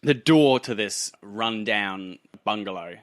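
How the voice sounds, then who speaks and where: A man's voice: lowish voice, slow pace, dry recording. A man working as game master, narrating a tabletop roleplay scene in a casual style.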